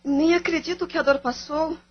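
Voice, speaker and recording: An actress playing a plaintive, dutiful young daughter in an audio drama. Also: female voice, high voice, steady tone, moderate pace, muffled recording, close to an everyday voice. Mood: surprised, insistent.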